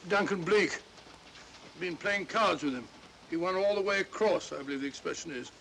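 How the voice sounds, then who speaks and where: A bluff, slightly gullible English companion to a detective in a 1940s mystery. The voice is gravelly and sharp-edged, chatty and halting. A faint noise sits on the old soundtrack. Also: male voice, high-pitched, full character voice. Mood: jovial, chatty. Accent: British. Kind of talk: 1940s mystery film acting. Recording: noisy old film soundtrack.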